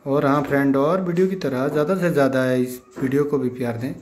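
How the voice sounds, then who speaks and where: A man's voice: medium pitch, brisk, a little mellow. A man from the hills of northern India, narrating a village vlog casually and pointing things out to viewers as friends. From Himachal Pradesh hills, northern India. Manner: repetitive show-and-tell, addressing viewers as friends. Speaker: village vlogger.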